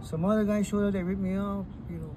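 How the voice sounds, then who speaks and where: Middle-aged man with a higher voice, street noise. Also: quick pace. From Texas. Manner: animated storytelling with hand gestures. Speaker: interviewee. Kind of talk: casual street interview. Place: outdoors by a chain-link fence on a city street. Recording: outdoor street noise with passing cars. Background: traffic and street ambience.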